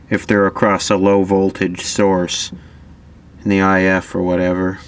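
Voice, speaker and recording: A man giving casual hands-on repair commentary, thinking aloud in an offhand, low-key way as an electronics hobbyist. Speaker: electronics repair hobbyist presenting his own video.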